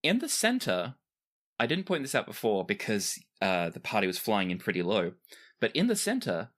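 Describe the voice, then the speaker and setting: A man's voice: fairly low pitch, huge pitch swings, normal speed, a bit bright. A man narrating a tabletop game as game master, conversational and explanatory with chatty asides. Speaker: game master of a tabletop role-playing podcast.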